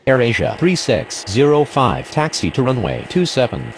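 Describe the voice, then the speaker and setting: Gravelly, lively actor as air traffic radio man. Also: medium pitch. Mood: procedural. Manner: procedural, measured phrases. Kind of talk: scripted aviation radio phraseology.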